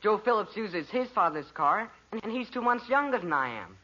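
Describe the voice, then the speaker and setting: A man's voice: high-pitched, very animated, moderate pace, bright, forceful tone, heavily acted. An actor with an American accent playing an argumentative, indignant fifteen-year-old boy in an old radio sitcom, on a muffled recording. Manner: pleading, indignant, sarcastic. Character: whiny, argumentative fifteen-year-old boy, put-upon older brother. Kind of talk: 1940s radio sitcom acting.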